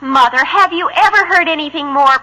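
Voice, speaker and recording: Starstruck, melodramatic daughter for old radio sitcom: high, bright, grandly indignant. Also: female voice, a little fast. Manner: theatrical, indignant. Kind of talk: vintage American radio sitcom acting. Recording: tinny old-time radio recording.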